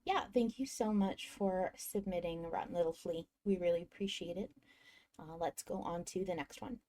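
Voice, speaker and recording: Woman, low pitch, podcast host, casual chat, enthusiastic. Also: moderate pace, dark tone.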